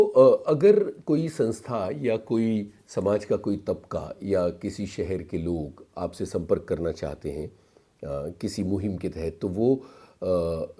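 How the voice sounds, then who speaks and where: Male radio interviewer, low and very mellow with a husky edge, unhurried questions, hesitant phrasing.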